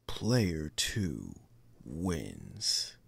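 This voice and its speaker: Fighting game announcer: rock-bottom pitch, heavy gravel, drawn-out commanding calls, noisy sound. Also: huge pitch swings, dry recording, clean studio sound, full character voice. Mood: dramatic, commanding. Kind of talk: terse arcade game announcements.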